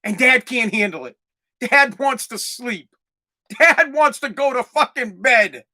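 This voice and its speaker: A man in his forties hosting a comedic solo podcast, ranting in a profane, rambling way with lots of stammered restarts and bursts of shouting. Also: very high-pitched, animated, measured pace. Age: 40s. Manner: exaggerated, swings into loud shouting. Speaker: podcast host.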